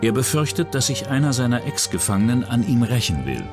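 A man's voice: medium pitch, very animated, brisk. A man reading scripted true-crime documentary narration in a dramatic, suspenseful storytelling manner. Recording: loud music under the voice. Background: music.

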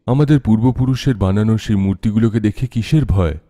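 A man playing a stubborn, commanding officer in an animated horror story, brusque and dismissive of superstition. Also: fairly low pitch, quick pace, close to an everyday voice. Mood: dismissive, irritated.

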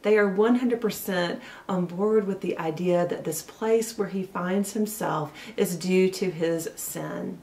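A woman in her forties preaching a sermon from her living room, telling the story earnestly and slowing down for emphasis. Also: on the low side, a little mellow, slight background noise. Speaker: pastor. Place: living room at home, indoors. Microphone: camera a little way off, seated facing it. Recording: roomy sound from a distance.

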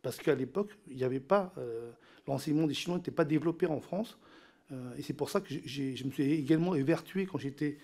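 A man's voice: a little high, quick pace, dark tone. A middle-aged man from Cambodia, whose first language is a Chinese dialect, testifying at a parliamentary hearing into a desk microphone in a large committee room, hesitant with many fillers and repeated words. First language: Teochew dialect. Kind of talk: formal testimony.

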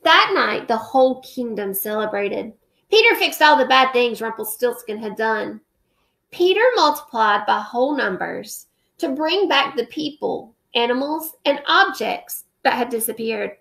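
A woman's voice: on the high side, expressive, unhurried, creaky, a bit bright. A woman in her thirties, a teacher, reading a picture book aloud with expression and then explaining a math lesson, recorded at home on a webcam. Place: living room at home, indoors. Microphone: webcam at close range.

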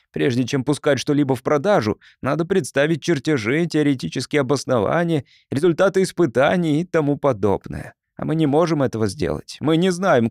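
A man's voice: fairly high pitch, darkish tone, dry recording, studio quality. A man voicing a gloomy, frustrated engineer who explains obstacles in an audiobook story.

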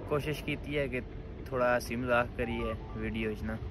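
A man's voice: medium pitch, moderate pace. A young man with a Pakistani Punjabi accent, chatting casually to his phone camera while a vehicle hum runs underneath. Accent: Pakistani Punjabi (Pothwari). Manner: relaxed, chatty, chuckles between remarks. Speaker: truck driver vlogger. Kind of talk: casual vlog chat to camera. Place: open ground outdoors, evening. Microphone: phone at arm's length. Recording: phone video outdoors with clear traffic noise.

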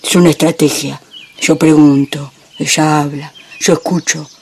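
A man's voice: on the high side, level tone, normal speed, a little mellow, slight background noise, lightly acted. A man playing a brooding, melancholy husband in a poetic theatrical monologue. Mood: brooding, insistent. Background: music.

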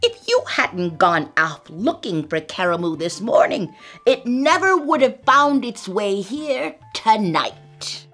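Storybook grandma rabbit, elderly and wise, high soft coaxing voice, read by a woman, slow and lilting. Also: sing-song, soft background music, full character voice. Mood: tender.

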